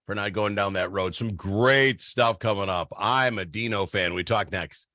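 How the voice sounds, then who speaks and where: A man's voice: lowish voice, normal speed, pushed and bright, dry recording, clean studio sound. A man hosting a radio talk show in a casual, bantering style.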